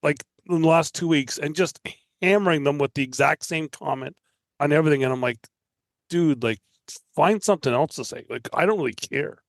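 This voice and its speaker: Higher-voiced man from Canada, dry sound. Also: brisk, dry recording. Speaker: podcast guest. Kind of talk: casual chat.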